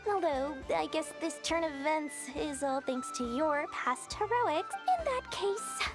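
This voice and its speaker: Squeaky-high sly merchant gal, bouncy sales pitch, game music under it. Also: female voice, animated, measured pace. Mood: smug. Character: scheming greedy woman merchant, smooth talker. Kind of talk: video game voice acting.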